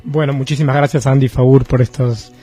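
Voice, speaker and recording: A man's voice: mid-range voice, steady tone, normal speed, warm tone, soft background music. A man hosting a radio interview, asking questions and thanking his guest politely and formally. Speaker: radio host.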